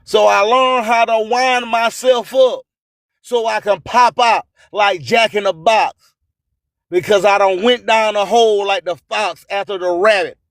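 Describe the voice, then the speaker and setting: A man with a high voice delivers a slow, rhyming spoken-word radio monologue. He proclaims boastfully and emphatically, stretching out his phrases like a preacher, with a dry, close sound. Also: steady tone, a bit bright, no room sound. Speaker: radio host and music promoter.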